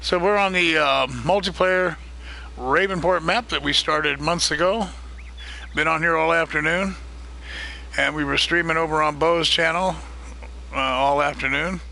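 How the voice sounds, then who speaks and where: A man hosting a live stream of a multiplayer farming game, chatting casually and greeting viewers by name, his voice getting a little hoarse. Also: on the high side, a little slow, bright, forceful tone. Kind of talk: casual live-stream chat while gaming.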